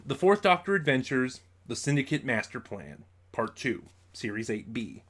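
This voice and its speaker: A man's voice: medium pitch, very animated, normal speed, fairly bright tone. A man talking casually as a vlogger in an unboxing video, rambling and over-explaining as he goes. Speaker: YouTube vlogger, collector and reviewer.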